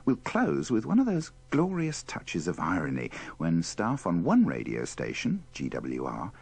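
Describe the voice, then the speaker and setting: A man with a low, slightly husky voice narrates as a presenter. He delivers scripted links with dry, wry irony, and his intonation moves widely up and down. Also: wildly swooping pitch, unhurried, darkish tone. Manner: droll, dryly ironic. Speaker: presenter of a radio bloopers compilation.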